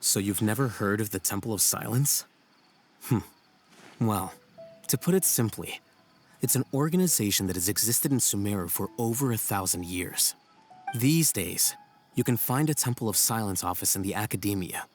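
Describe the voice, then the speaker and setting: An actor playing a composed, aloof scholar in a video game, speaking in a measured, formal and dryly analytical way. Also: male voice, on the low side, animated, close to an everyday voice. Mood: explanatory, dry. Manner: measured, dry, formal, matter-of-fact. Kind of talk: video game voice acting, expository dialogue. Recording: music behind the voice. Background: game music.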